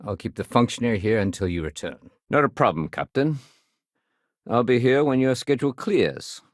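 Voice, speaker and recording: A man playing a dutiful, fair-minded security sergeant in a video game, speaking in an earnest, measured, official way. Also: medium pitch, lively intonation, dry recording, studio quality, lightly acted. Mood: businesslike. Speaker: game voice actor. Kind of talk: video game dialogue acting.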